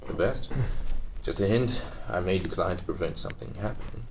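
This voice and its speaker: A man playing a cunning, wealthy businessman in a TV script table read, measured, evasive and self-satisfied. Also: deep voice, noisy background. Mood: smug. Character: smug, cunning, wealthy patrician businessman and husband.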